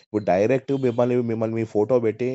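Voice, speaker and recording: A man's voice: lowish voice, little pitch movement, rapid-fire, mellow, no room sound. A man conducting a call interview, pressing with probing, persistent questions. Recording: dull lo-fi call recording.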